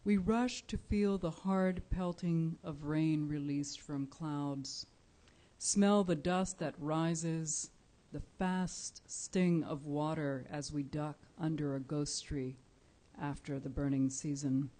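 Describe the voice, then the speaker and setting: Woman, Spanish, live poetry reader; unhurried, warm-toned, deliberate. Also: sits low, fairly even intonation. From Cuba. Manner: measured, deliberate, pausing often with soft fillers. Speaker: featured poet. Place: indoor live reading event.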